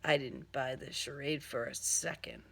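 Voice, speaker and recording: A woman narrating a first-person crime story in a deliberate, dramatic, sardonic manner. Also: rumbling low, steady tone, creaky, fairly bright tone. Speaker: author reading own crime novel.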